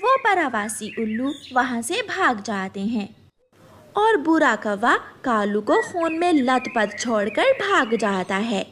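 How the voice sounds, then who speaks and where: A woman's voice: fairly high pitch, sing-song, takes it slowly, fairly bright tone. A woman giving scripted narration for a children's moral story. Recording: clear background noise, nature ambience.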